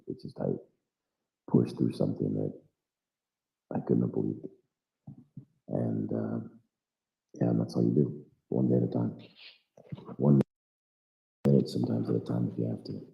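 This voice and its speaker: A man's voice: a bass voice, steady tone, normal speed, vocal fry, pauses often. A man from the United States who volunteers as a fighter in Ukraine, talking earnestly and bluntly in a live audio chat, with the odd curse word. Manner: earnest, philosophical, blunt with occasional swearing. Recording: echoey, dull lo-fi online audio room.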